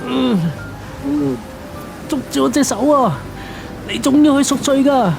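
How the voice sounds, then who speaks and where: A man's voice: extremely high pitch, very animated, a little slow, gravelly, heavily acted, poor audio. Man acting righteous Cantonese investigator, music behind. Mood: urgent, pleading. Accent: Hong Kong Cantonese. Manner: stern, impassioned, moralizing. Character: earnest, righteous police investigator confronting a killer. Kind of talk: radio drama acting.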